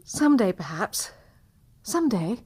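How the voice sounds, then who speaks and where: An actress playing a young wife, coaxing and secretive, in a period radio drama. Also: female voice, mid-range voice, big pitch swings, measured pace, a little mellow, slightly rough. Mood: coaxing, evasive.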